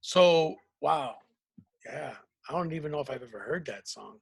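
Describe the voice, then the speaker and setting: Man in his thirties, husky voice, fairly high, relaxed podcast host on a video call. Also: moderate pace. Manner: relaxed, joking interviewer. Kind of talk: casual podcast chat. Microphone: video call webcam.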